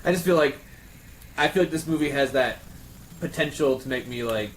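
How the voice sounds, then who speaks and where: A man in a casual round table chat, talking in a rambling, excitable fan's way. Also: fairly high pitch, normal speed, a little hiss or hum, rough recording. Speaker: podcast panelist.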